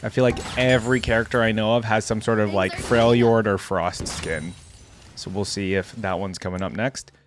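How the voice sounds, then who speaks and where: A man's voice: on the low side, steady tone, moderate pace, a little hiss or hum. A young man giving casual, laid-back reaction commentary, thinking aloud as host.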